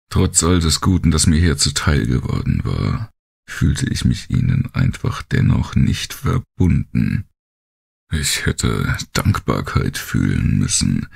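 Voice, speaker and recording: A man narrating a first-person thriller audiobook in a gravelly, husky voice, with brooding, deliberate and dramatic phrasing. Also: extremely low pitch.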